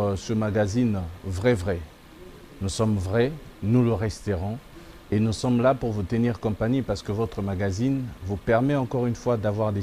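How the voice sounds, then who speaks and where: A man's voice: fairly low pitch, warm tone, a little hiss or hum. A man hosting a TV magazine, addressing viewers in an emphatic presenter style and repeating his slogans. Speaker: TV magazine host.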